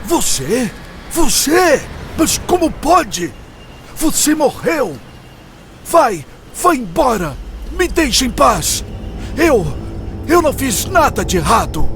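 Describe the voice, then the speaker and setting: A man's voice: extremely high pitch, big pitch swings, takes it slowly, pauses often, fairly bright tone, soft background music, full character voice. A man voicing a grumpy, miserly old man in a children's cartoon story, scolding theatrically. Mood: frightened. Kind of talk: animated children's story acting.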